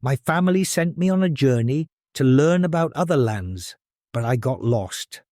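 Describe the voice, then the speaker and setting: A man voicing a refined young princess who speaks softly, clearly and politely, weary and earnest, in a storybook tale. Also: mid-range voice, expressive, moderate pace, dry recording, studio quality, close to an everyday voice.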